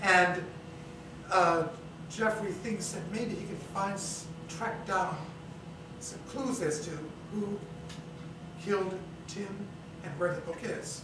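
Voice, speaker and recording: A man's voice: high voice, slow pace, long pauses, far from the mic. A man co-presenting a staged reading, explaining off the cuff and searching for his words. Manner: hesitant, searching for words, repeats himself. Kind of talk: unscripted spoken introduction. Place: indoor theater, staged reading. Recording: echoey, noisy theater recording from off.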